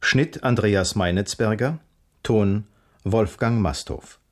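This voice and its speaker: Slow, low-voiced male radio announcer. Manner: formal, measured. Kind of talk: scripted credits reading.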